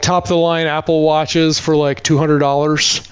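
Man, somewhat high voice, wry, casual podcast banter, slightly dull recording. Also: flat delivery, normal speed, smooth. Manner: wry, sardonic. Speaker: podcast co-host.

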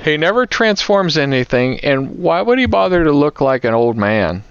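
A man's voice: fairly high pitch, very animated, moderate pace, clear, resonant tone, no echo. A man from the United States co-hosting a podcast, chatting casually and telling stories in a jokey way. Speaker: podcast co-host. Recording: dull, lo-fi podcast audio.

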